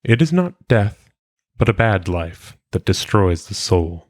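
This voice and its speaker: A man reading philosophical maxims aloud in a solemn audiobook style, with measured, deliberate phrasing. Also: lowish voice, big pitch swings, dry recording, clean studio sound. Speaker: reader.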